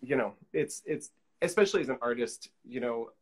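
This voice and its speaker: A man's voice: medium pitch, brisk, slightly rough. A man in his forties chatting casually as an interview guest, peppering his talk with "you know" asides. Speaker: interview guest, collage artist. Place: small indoor room with pinned pictures, video call.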